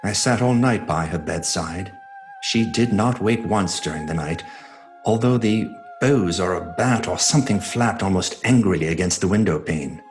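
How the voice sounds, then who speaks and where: A man playing an earnest, devoted doctor, narrating in a measured, sombre way in a horror audio drama. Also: low voice, soft background music, lightly acted.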